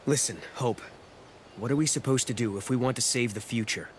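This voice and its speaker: A man's voice: medium pitch, fairly quick, slight background noise, close to an everyday voice. An actor playing a determined young male traveler in a video game, plain-spoken, casual and direct. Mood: urgent, decisive. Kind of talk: video game cutscene acting.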